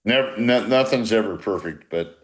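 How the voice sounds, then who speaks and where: An older man with a fairly low voice chats casually over a video call. He is blunt and teasing, salting his reminiscing with profanity like an old ballclub skipper. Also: moderate pace. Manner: blunt, teasing, swearing banter. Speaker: former baseball manager reminiscing with players. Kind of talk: casual profane group chat.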